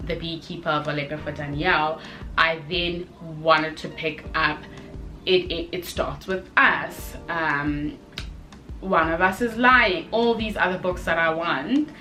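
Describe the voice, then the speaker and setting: Bright-voiced young woman vlogging. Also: sits low. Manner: expressive, conversational, emphatic repetition. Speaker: book review vlogger. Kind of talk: casual chatty video review.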